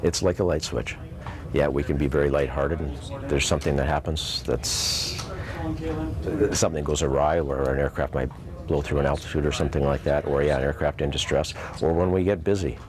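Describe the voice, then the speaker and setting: A man's voice: extremely low pitch, steady tone, fairly quick, crowd noise. A man, an air traffic controller, answering interview questions conversationally.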